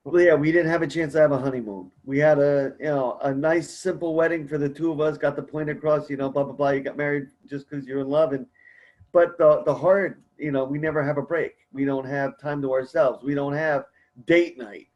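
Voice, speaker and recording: A man's voice: a little high, fairly even intonation, brisk, muffled recording. Encouraging man hosting a support call. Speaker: support group host. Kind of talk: casual conference-call conversation.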